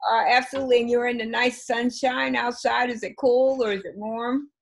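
A woman's voice: a little high, fairly even intonation, moderate pace, creaky. A woman hosting an interview on a video call from her living room, asking encouraging, affirming questions. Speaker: host. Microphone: video call webcam.

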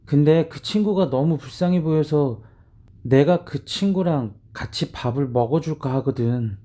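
A woman's voice: low voice, moderate pace, warm tone, pitched below the performer's own voice. A woman voicing a withdrawn middle-school boy in an audio drama, hesitant and often trailing off. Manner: hesitant, trailing off mid-sentence.

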